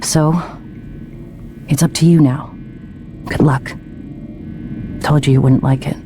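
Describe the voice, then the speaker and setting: An actor playing a grave, resolute scientist in a video game, speaking in a sober, direct, deliberate way. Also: male voice, medium pitch, flat delivery, music in the background, close to an everyday voice. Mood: grave, resigned. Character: grave, resolute scientist giving a warning message. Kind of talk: video game recorded message acting.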